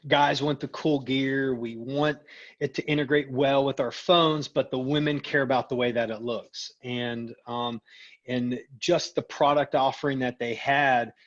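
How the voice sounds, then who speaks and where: A man's voice: medium pitch, steady tone, measured pace, fairly bright tone. Middle-aged man, slightly dull lo-fi recording. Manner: conversational, peppers talk with "you know" and "right?". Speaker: company executive, interview guest. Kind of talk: casual interview conversation.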